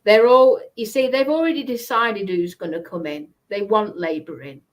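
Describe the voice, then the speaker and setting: A woman's voice: mid-range voice, normal speed. A British woman chatting casually about politics, making her points emphatically. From United Kingdom.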